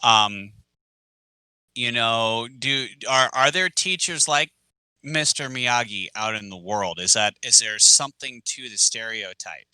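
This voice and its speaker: Bright, clear-voiced man chatting. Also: mid-range voice, unhurried, dry recording, low-quality recording. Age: adult with kids. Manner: relaxed, chatty, many fillers. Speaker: podcast co-host, martial arts teacher. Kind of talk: casual podcast chat.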